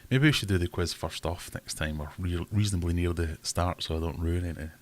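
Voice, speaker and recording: A man's voice: deep voice, fairly even intonation, fairly quick. A man hosting a casual livestream from home, rambling and self-deprecating as he reads out chat comments. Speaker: livestream host.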